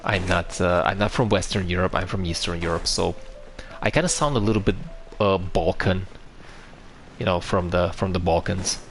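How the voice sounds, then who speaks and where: A man's voice: sits low, steady tone, normal speed, a bit bright, faint music behind. A man from Romania with a Romanian accent, chatting casually on a live stream, answering viewers and explaining a game with frequent restarts.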